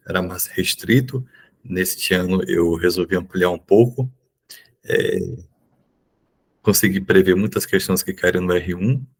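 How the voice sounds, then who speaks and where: A young man with a Bahian Brazilian accent, explaining exam questions informally as a teacher, in a halting way with frequent checking tags. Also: deep voice, vocal fry, breathy edge. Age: young adult. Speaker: neurology resident and exam prep teacher. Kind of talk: informal exam review lesson.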